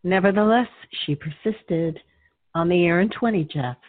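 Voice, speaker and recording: A woman playing a businesslike radio producer and announcer, composed and gently prompting, with a narrow-band sound. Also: fairly low pitch, moderate pace, close to an everyday voice. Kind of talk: studio cues and programme announcing.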